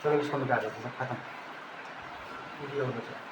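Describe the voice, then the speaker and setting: A man's voice: mid-range voice, steady tone, fairly quick. A man with an Indian accent giving an informal technical lesson, explaining and repeating key terms. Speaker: teacher. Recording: echoey room, background noise.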